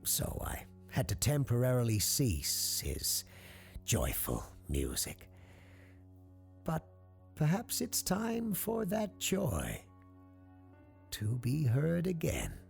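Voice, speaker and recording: A man's voice: medium pitch, wildly swooping pitch, slow, gravelly, pauses often, darkish tone, faint music behind, pitched above the performer's own voice, heavily acted. A man voicing a grandiose, obsessive circus owner in an audiobook, theatrical and rapturous, savoring each word. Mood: amused, coaxing. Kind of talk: audiobook character acting.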